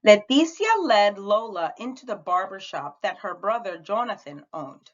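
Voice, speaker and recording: A woman reading a picture book aloud to children in an animated, expressive way, as a teacher does. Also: medium pitch, moderate pace, lo-fi sound. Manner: animated, acts out the dialogue.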